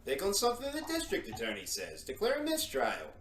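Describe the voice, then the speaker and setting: A man's voice: high-pitched, wildly swooping pitch, a little fast, a bit bright, roomy sound, exaggerated voice. A man playing a sly, insolent secretary turned schemer in an old-time radio drama, smug and needling.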